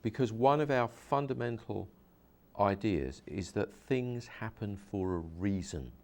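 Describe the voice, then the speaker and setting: A man's voice: fairly low pitch, lively intonation, unhurried, darkish tone. A man, a hypnosis practitioner, interviewing a guest and explaining his method earnestly, with many repeats and restarts.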